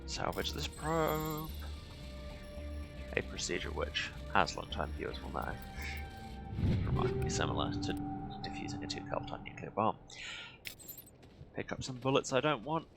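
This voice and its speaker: A man's voice: medium pitch, very animated, moderate pace, vocal fry, pauses often, music in the background. A man giving casual video game playthrough commentary in a dry, sardonic, self-deprecating manner.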